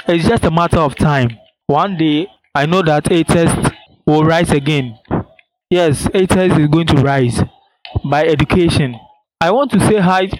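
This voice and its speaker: A young man with a Ghanaian accent leaving a personal voice message, speaking earnestly and deliberately in short phrases. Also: high voice, no echo, poor audio. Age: young adult.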